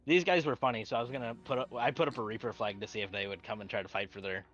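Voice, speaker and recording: Co-player, man, bright forward voice over a dull lo-fi line, relaxed. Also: mid-range voice, very fast. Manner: easygoing, laughs while talking. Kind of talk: casual gaming chat.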